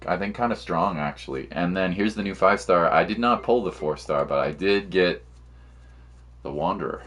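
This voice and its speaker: Low-voiced guy streaming games. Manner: laid-back slangy talk with mild swearing. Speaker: game streamer. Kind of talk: casual livestream chat and gameplay commentary.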